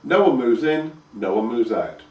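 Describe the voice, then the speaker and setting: A man's voice: medium pitch, animated, moderate pace, distant mic, lo-fi sound, close to an everyday voice. A man playing a mild, long-suffering teenage peasant boy with a weary, dry manner. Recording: echoey room, voice from afar.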